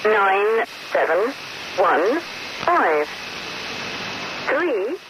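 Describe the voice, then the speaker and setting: A woman's voice: medium pitch, very animated, very slow. Woman reading digits through heavy shortwave static. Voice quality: synthesized. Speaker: numbers station announcer. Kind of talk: reading out single digits as a coded broadcast. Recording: noisy, static-filled shortwave radio broadcast.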